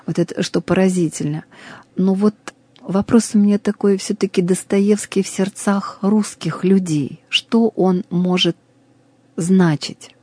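A woman hosting an interview program, asking measured leading questions. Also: medium pitch, fairly even intonation, a little mellow, breathy edge, no room sound. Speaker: program host.

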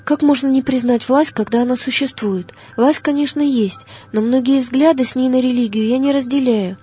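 A woman's voice: high voice, moderate pace, warm tone, slightly rough. A woman narrator reading the words of a devout, defiant young male preacher in an audiobook, earnest and plain-spoken. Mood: firm, defiant.

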